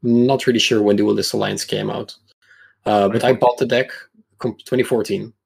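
A man in his mid-twenties with a fairly low voice and plenty of fry. His tone is husky, and he tells anecdotes in a loose, rambling conversational style, often breaking off mid-thought. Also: steady tone, normal speed, a little mellow. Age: mid-20s. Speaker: podcast guest, competitive card game player. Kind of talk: casual chat, personal anecdotes.

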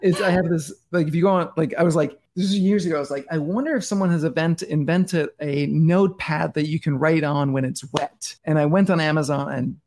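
A man's voice: fairly high pitch, fairly even intonation, a little fast, few pauses, darkish tone, a little hiss or hum. A middle-aged man from Denmark, a podcast guest talking casually and intensely, restarting his sentences often. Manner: intense, rambling, many restarts and "like". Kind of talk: casual interview talk.

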